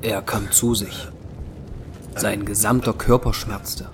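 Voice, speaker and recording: A man's voice: on the low side, flat delivery, slow, noisy background. A man narrating a German audio drama in a scripted, dramatic storytelling style.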